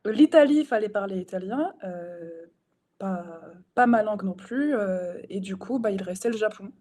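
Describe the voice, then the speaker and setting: A young woman answers interview questions spontaneously. She hesitates often and laughs easily, with a warm, mellow voice. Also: medium pitch, animated, normal speed. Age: young adult. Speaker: guest. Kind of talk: casual interview chat.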